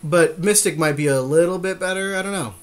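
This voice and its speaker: Higher-voiced man, casual podcast chat. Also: fairly quick. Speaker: podcast co-host. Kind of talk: casual card game draft chat.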